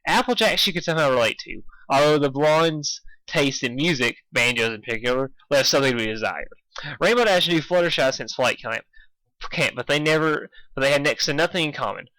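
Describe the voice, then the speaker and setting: A man with a bright, forceful voice on the higher side reads a story aloud in a very dry recording. His narration is informal, and he sometimes trips over a phrase and reads it again. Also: animated, a little fast, dry recording, rough recording. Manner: stumbles and rereads phrases. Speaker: fan fiction reader.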